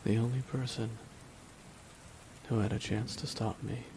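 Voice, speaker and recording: A man playing a grieving, resigned supervillain in a dramatic ASMR roleplay, speaking a slow, deliberate, solemn monologue with long pauses. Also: mid-range voice, fairly even intonation, creaky, background noise. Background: rain falling.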